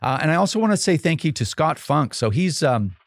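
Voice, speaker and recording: A man's voice: fairly high pitch, very animated, a little fast, no room sound, studio quality. A man hosting a podcast, talking casually and emphatically with lots of fillers and restarts, his voice getting worn out. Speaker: podcast host.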